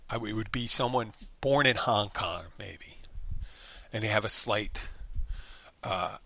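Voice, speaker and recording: A man's voice: on the low side, bright, forceful tone, no room sound. A man hosting a solo podcast, talking in a loose, rambling way with many restarts and fillers. He is a bartender from the United States.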